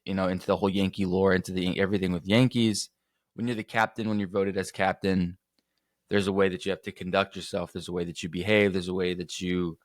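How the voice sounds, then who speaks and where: A man with a slightly hoarse voice hosting a casual sports podcast, rambling and correcting himself as he goes. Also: low voice, flat delivery, fast, no room sound, studio quality. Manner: rambling, self-correcting, chatty. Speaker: podcast host.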